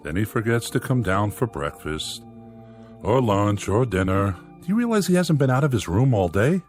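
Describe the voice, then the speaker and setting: Husband, lowish pitch, animated, blunt, insistent, affectionate, radio drama. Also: male voice, normal speed, faint music behind. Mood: exasperated. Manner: insistent, reasoning.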